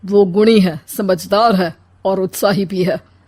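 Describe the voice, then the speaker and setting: A woman's voice: medium pitch, steady tone, measured pace, a little mellow, natural voice. A woman voicing a teasing older father-in-law in a comic cartoon story, with a dry, needling delivery.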